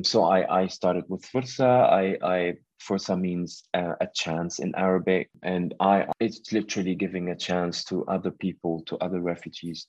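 A man from Syria in his thirties, speaking as a podcast guest with a very low voice. He talks hesitantly, restarting phrases and filling pauses. The sound is dry and somewhat muffled, a lo-fi recording. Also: darkish tone, dry recording. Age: 30s. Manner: hesitant, many fillers and restarts. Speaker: founder of a refugee job platform, guest. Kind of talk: conversational podcast interview.

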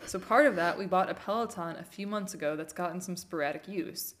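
A woman's voice: on the low side, a little fast. A woman co-hosting an advice show, chatting casually and reading listener questions aloud.

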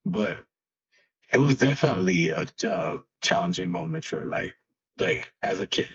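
Husky-voiced man in a halting chat. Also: deep voice, lively intonation. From Haiti. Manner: halting, searching for words, many fillers. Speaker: guest musician. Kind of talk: casual interview. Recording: dull lo-fi sound.

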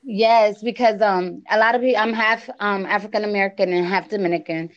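A woman from Connecticut with an East Coast accent, hosting a casual chat in an excitable way, with restarts and laughs. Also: medium pitch, a little fast, muffled recording. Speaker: host.

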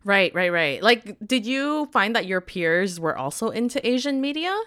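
A woman's voice: medium pitch, sing-song, moderate pace, fairly bright tone. A young woman hosting a podcast, chatting casually and laughing often, with the odd swear word. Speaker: podcast host. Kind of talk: casual interview chat.

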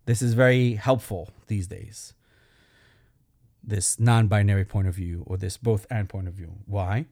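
Belgian-accented man, warm low voice. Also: fairly even intonation, unhurried, no room sound, studio quality. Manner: earnest, personal, gently humorous. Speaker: rabbi and podcast host. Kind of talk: reflective solo talk.

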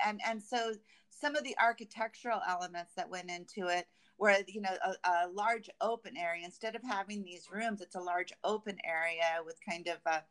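Smooth-voiced woman, halting interview guest. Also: medium pitch, a bit bright. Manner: halting, searching for words. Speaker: guest, construction company representative. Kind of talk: conversational interview answers about company projects.

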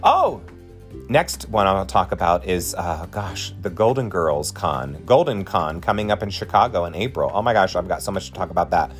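A man's voice: deep voice, very animated, normal speed, soft background music. A man in his forties hosting a podcast, talking in an effusive, encouraging way straight to his listeners. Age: 40s. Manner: chatty, effusive and encouraging, addresses listeners directly. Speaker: podcast host and drag performer. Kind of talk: casual podcast monologue.

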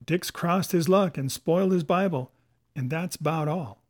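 A man voicing a domineering, crafty pirate sea cook who taunts and commands in rough sailor dialect, in a storybook reading. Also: a little high, unhurried, close to an everyday voice. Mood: smug, commanding.

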